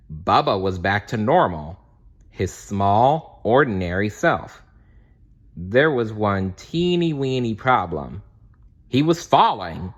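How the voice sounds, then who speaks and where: A man reading a children's storybook aloud as scripted narration, dramatic and expressive. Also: on the low side, husky, dull audio. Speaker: storybook reader.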